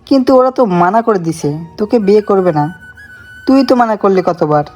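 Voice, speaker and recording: Woman voicing a mellow, polite middle-aged father. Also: medium pitch, expressive, normal speed, faint music behind, voice pushed down, lightly acted. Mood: puzzled. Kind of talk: dramatised romantic story dialogue.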